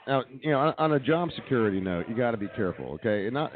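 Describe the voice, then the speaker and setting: A man's voice: medium pitch, expressive, rapid-fire. A man hosting a comedy radio show, trading banter in a talk-show style. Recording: tinny phone-like band-limited sound.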